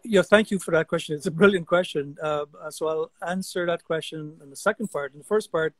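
A man's voice: a little high, fairly quick, dry recording. Older man telling stories on a video call. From Guyana. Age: 60s. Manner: animated storyteller, frequent repeated words. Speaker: guest artist. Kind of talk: casual video-call conversation. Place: outdoor deck among trees. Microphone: video call webcam.

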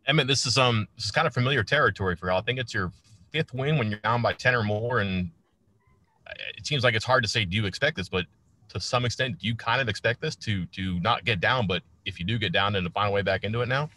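Male reporter asking a postgame question, talks fast, forceful bright voice. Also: lowish voice. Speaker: sports reporter. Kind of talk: asking a question at press conference. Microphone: remote call.

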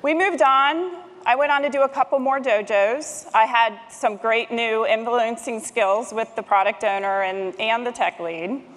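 Higher-voiced woman presenting in a hall. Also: fairly even intonation, unhurried, hardly any pauses, fairly bright tone. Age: middle-aged. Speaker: conference speaker, technology manager. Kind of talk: prepared conference presentation. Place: large conference stage, indoors. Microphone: headset microphone. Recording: long hall reverb.

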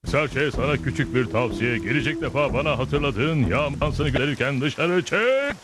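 A man's voice: medium pitch, expressive, moderate pace, close to an everyday voice. Man as a dry, dignified cartoon chief. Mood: weary advice. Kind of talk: dubbed cartoon acting. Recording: music behind the voice.

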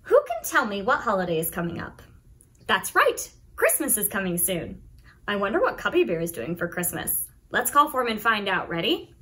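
Woman, thirties, children's show host, encouraging, sing-song, swooping lively pitch. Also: moderate pace. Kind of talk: presenting to young children.

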